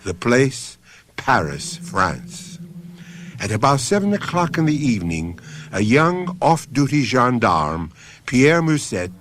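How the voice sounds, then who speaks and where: Unhurried, animated male mystery host. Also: medium pitch. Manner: measured, theatrical emphasis with pauses. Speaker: radio drama host and narrator. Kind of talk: scripted dramatic narration.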